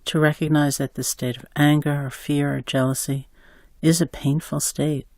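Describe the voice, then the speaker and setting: A man slowly guiding a compassion meditation, calm, with long pauses between phrases. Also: a little high, no room sound, studio quality. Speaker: meditation guide. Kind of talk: guided meditation.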